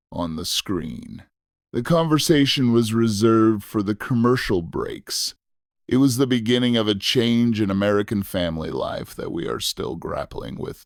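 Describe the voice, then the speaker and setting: A man reading a scripted, nostalgic first-person story as a narrator, in a measured, reflective way. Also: on the low side, no room sound, studio quality.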